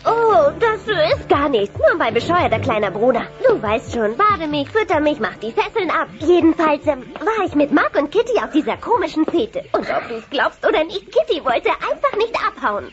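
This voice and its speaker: A woman's voice: high voice, sing-song, normal speed, few pauses, music in the background. A woman voicing a self-absorbed, chatty teenage dinosaur sister in a children's audio play, gossipy and whiny. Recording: muffled old cassette audio play.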